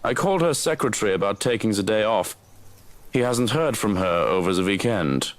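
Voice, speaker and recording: A man's voice: fairly low pitch, expressive, brisk, close to an everyday voice. A man playing a dryly mocking, businesslike aide in a video game. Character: dry, teasing male aide to a rich man.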